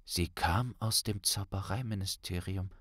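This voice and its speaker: Male narrator, mid pitch, unhurried pace, dramatic storytelling, dry close sound. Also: a bit bright, breathy edge, no room sound. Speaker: audiobook narrator. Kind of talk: dramatic scripted fiction narration.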